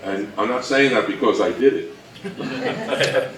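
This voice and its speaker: A man's voice: mid-range voice, takes it slowly, noisy background. A man giving an informal presentation to an event audience, with a dry, joking, self-mocking manner. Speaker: panel presenter. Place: indoor event room, recorded from a distance. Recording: distant, echoey room with audience.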